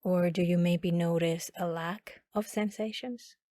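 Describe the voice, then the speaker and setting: A woman guiding a body scan meditation in a calm, instructional manner with long pauses. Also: on the low side, dry recording, studio quality. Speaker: meditation guide.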